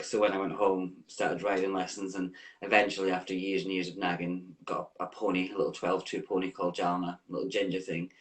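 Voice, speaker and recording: A man's voice: deep voice, poor audio. A Scottish man from the west coast, a welfare manager chatting in a friendly way with hesitant ums. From west coast of Scotland. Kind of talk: casual chat.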